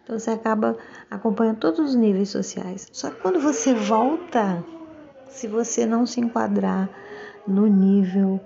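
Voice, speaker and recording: A woman's voice: fairly high pitch, moderate pace, gravelly, lo-fi sound, crowd noise. A woman with a Brazilian Portuguese accent giving a casual, reflective monologue, musing and often checking for agreement with little tag questions.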